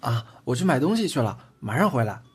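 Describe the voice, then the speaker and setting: 一名男演员在广播剧里饰演一个甜蜜害羞、爱说话的年轻男友，语气真诚、带点孩子气和自嘲。. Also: male voice, medium pitch, very animated, quick pace, breathy edge. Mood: cheerful. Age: young adult. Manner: earnest, boyish, self-deprecating. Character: sweet, bashful, chatty young boyfriend in love. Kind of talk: Chinese audio drama acting. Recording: music under the voice. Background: background music.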